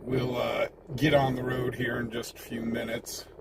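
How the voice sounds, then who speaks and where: An American man hosts a casual live stream in a folksy, chatty way. His voice is mid-pitched, with clear vehicle noise around him. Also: level tone, moderate pace. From United States. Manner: folksy, chatty, greeting viewers by name. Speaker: live-stream host, independent reporter. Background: vehicle and ambient noise.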